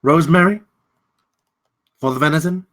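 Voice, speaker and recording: An actor playing a menacing, courteous gentleman villain in a script table read, telling his tale in deliberate, ornate, theatrical phrasing. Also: on the high side, lightly acted. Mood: courteous menace.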